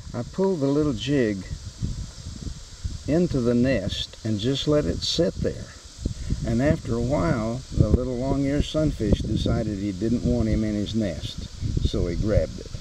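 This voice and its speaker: A man giving casual, unhurried, plain-spoken narration for a fishing vlog, recorded outdoors on a creek with a handheld camera. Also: medium pitch, creaky, dark tone, low-quality recording. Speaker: angler filming his fishing trip. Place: outdoors in a float tube on a creek. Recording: outdoor creek noise with insects. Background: insects and natural outdoor ambience.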